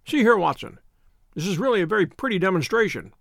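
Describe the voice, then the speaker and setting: A man voicing a crisp, confident detective. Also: sits high, expressive, quick pace, a bit bright, no echo, studio quality, heavily acted. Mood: brisk lecturing. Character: cool, brilliant male detective, lecturing.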